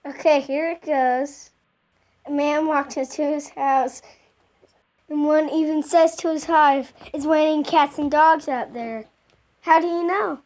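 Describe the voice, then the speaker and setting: A woman's voice: high voice, fairly even intonation, unhurried, a little mellow. A woman playing a cheerful, naive young male singer sidekick in an old-time radio comedy, eager and guileless. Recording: faint background noise.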